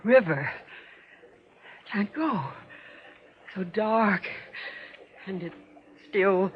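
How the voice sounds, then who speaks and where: An actress playing a guilt-ridden, murderous stepmother trapped in a nightmare, melodramatic and halting, in old-time radio horror style. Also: medium pitch, animated. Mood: terrified, desperate. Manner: melodramatic, halting fragments, from whispers to shrieks. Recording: dull, lo-fi old radio recording with noise.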